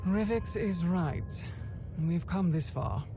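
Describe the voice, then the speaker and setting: A woman's voice: lowish voice, slow, music in the background. A woman playing a stern Sith lord in a video game, terse and authoritative. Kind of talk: dramatic video game acting.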